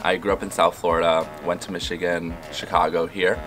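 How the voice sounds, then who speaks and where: A man's voice: sits low, flat delivery, fairly quick, fairly bright tone, faint music behind. A man from Chile answering a street interview in a reflective, slightly rueful way. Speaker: passerby interviewee. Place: outdoors on a city street.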